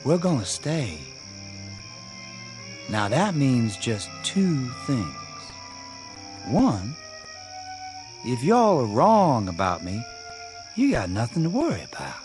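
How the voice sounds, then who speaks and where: A man's voice: mid-range voice, huge pitch swings, slow pace, long pauses, music in the background. An actor playing a stubborn young country man in a radio drama, with a rural Southern US accent and a folksy, plain-spoken manner. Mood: defiant, menacing calm. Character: defiant young country man suspected of being undead.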